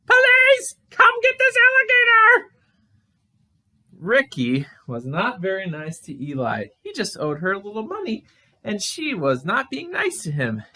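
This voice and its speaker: A woman narrating a puppet show story in an animated, children's storytelling style. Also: fairly low pitch, moderate pace, slightly rough.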